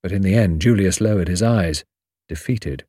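A man's voice: low-pitched, moderate pace, dark tone, breathy edge, no echo, studio quality. A man with a British accent reading a novel as scripted audiobook narration.

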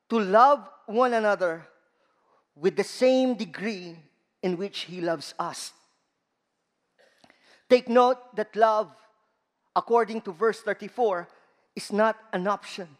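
A man with a Filipino accent preaching a sermon to a church congregation, slow and emphatic, stressing key words. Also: high voice. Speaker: guest preacher. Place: large church hall. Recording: long reverb of a church hall.

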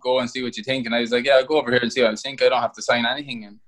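Young irish guy chatting loosely in an interview, keeps repeating words as he goes, average pitch. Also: flat delivery, very fast, a bit bright. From Ireland. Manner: casual, rambling, restarts words often. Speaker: footballer abroad. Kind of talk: interview over a call.

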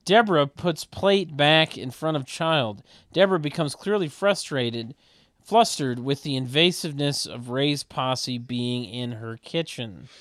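Guy doing sardonic narration for a table read, deadpan, really dry close mic. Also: a little high, unhurried, no room sound. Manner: deadpan, mocking asides. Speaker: narrator reading stage directions.

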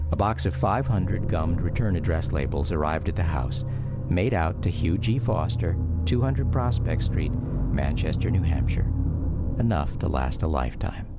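A man narrating a scripted memoir for a radio drama in a measured, reflective storytelling manner. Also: deep voice, vocal fry. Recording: music bed under narration.

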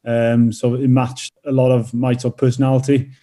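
A man's voice: medium pitch, flat delivery, moderate pace, dark tone. A Welsh man from North Wales in his thirties, a rugby player and teacher answering interview questions with many fillers and restarts.

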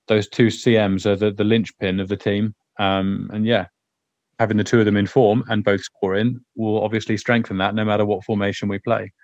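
A man with a fairly low, hoarse, croaky voice talks football in a loose, rambling way, often stopping to restart his sentences. His voice sounds dry and close, with a slightly dull, muffled tone like an online call. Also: darkish tone, no echo. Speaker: podcast co-host. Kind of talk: casual football chat.

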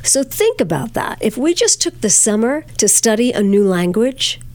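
A woman host presenting in an upbeat, announcer-like manner. Her voice sits a little high and sounds close and dry, with no room around it. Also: sing-song, normal speed, darkish tone, no echo. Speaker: radio show host. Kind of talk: scripted host narration.

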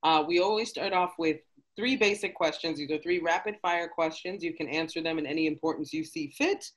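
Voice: medium pitch, a little fast, low-quality recording. Bubbly podcast host on a call. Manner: bubbly, laughs often. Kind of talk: casual chat interview. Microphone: video call.

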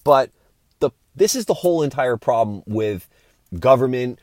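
A man's voice: mid-range voice, lively intonation, moderate pace, a little mellow, no room sound. A man hosting a podcast, giving an opinionated, informal monologue with casual swearing. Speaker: podcast host.

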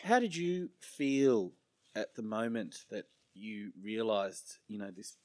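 A man interviewing guests, asking gentle, probing questions. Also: fairly low pitch, sing-song, slow.

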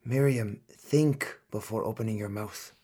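An actor playing a blunt, protective middle-aged Turkish colonel and exasperated husband in a radio play, with a rough voice. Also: male voice, on the low side, unhurried, warm tone, slight background noise, close to an everyday voice. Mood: stern, guarded. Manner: blunt, brusque.

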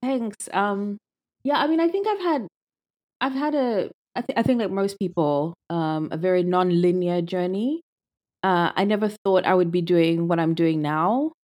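A young woman from Zimbabwe with a notably low voice talks through her story as a podcast guest. She is thoughtful and casual, often restarting her sentences as she thinks. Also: moderate pace, darkish tone. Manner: thoughtful, with many restarts and fillers. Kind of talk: casual conversational interview.

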